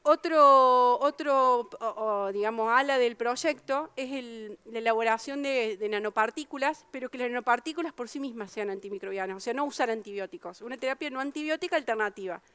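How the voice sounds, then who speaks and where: A woman's voice: fairly high pitch, fairly quick, few pauses, a bit bright, smooth, no echo. A woman from Argentina with an Argentine Spanish accent, a researcher explaining her work at a public science talk, with frequent fillers and restarts.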